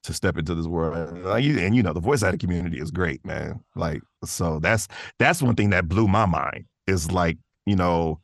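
A man's voice: low-pitched, moderate pace, gravelly, few pauses, dry recording, studio quality. A man talking casually and thoughtfully as a guest in an interview about his work, often restarting his phrases. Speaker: voice actor, podcast guest. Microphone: close studio microphone in a vocal booth.

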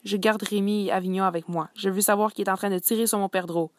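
A woman voicing a harried male police commissioner who shouts angry orders at his men. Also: medium pitch, steady tone, quick pace, dry recording, natural voice. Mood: angry shouting.

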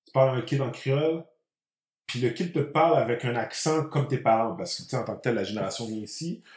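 A man's voice: medium pitch, fast. A man with a Quebec French accent, chatting casually and dropping English words into his sentences. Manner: mixes English words into speech. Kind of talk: casual conversational chat. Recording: roomy, echoey room.

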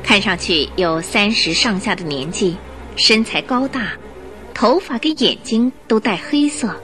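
Animated woman storyteller over faint music. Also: medium pitch, a little slow. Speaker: narrator of a radio drama. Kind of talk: expressive scripted radio storytelling.

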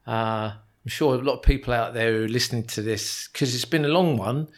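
Man, podcast host, mid-pitched voice, measured, encouraging interviewer. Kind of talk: interview conversation.

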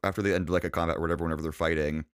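A young man co-hosting a casual podcast, with a deep voice and a laid-back, dry way of talking, often pausing on fillers. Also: steady tone, clear, resonant tone, no room sound. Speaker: podcast co-host.

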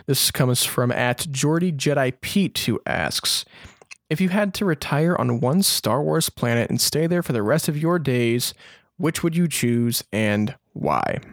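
A man's voice: mid-range voice, animated, measured pace, no room sound, studio quality. A man co-hosting a podcast in casual chat, informal and thinking aloud as he talks. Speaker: podcast co-host.

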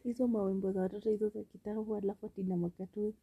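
A woman's voice: medium pitch, level tone, racing, mellow, slightly rough. A Kenyan woman co-hosting a podcast in casual, bantering chat. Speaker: podcast co-host. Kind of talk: casual bantering chat, mixing Swahili and English.

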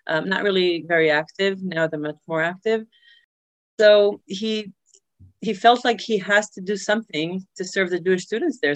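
A woman who grew up in Israel, telling her life story as an interview guest, pausing often with filler sounds and restarts. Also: on the low side, dry recording. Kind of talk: personal life story in conversation.

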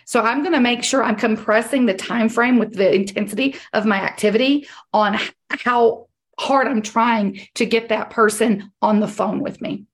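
Woman sales coach, slightly high voice, strained and hoarse, firm and repetitive. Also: moderate pace. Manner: direct, emphatic, repeats key points. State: losing her voice. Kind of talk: coaching on a group call.

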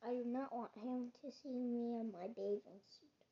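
A child voicing an excitable, self-conscious, grumpy toad from a storybook, with emphatic delivery. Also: medium pitch, measured pace, close to an everyday voice. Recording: dull lo-fi recording.

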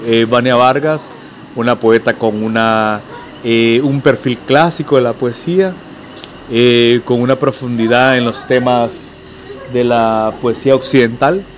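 A man frames an elaborate, winding question, pausing on fillers as he builds his point. His voice sits at a middle pitch. A faint hum runs under the recording. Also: fairly even intonation. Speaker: interviewer, host. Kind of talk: interview question.

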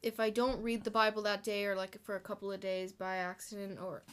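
A woman's voice: medium pitch, flat delivery, brisk, clean tone. A young woman in a casual family chat, chatty and playfully self-mocking. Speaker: family member.

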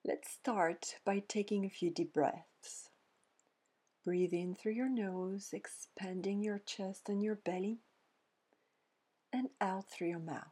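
A woman leading a guided meditation in a soft, breathy, near-whispering voice, gently soothing, with pauses between short phrases. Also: medium pitch, fairly even intonation. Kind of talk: guided meditation, scripted narration.

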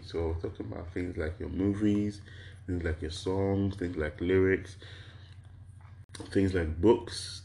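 Low-voiced man lecturing, slightly hoarse. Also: darkish tone. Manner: rhetorical questions, repeats lists with "right?". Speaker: lecturer on intellectual property. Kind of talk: explanatory lecture to an audience.

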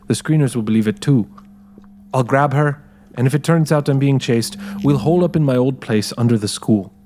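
A man's voice: mid-range voice, darkish tone, a little hiss or hum. A man narrating first-person audio fiction in character as a wary, streetwise ex-con. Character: wary, streetwise male ex-con in a tech dystopia. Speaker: narrator of a dramatized story, in character.

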